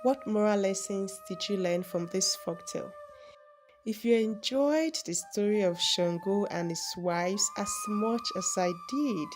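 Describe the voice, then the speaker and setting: A woman's voice: medium pitch, measured pace, darkish tone, soft background music. A woman narrating a scripted folktale as a storyteller hosting for viewers. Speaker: storyteller and channel host.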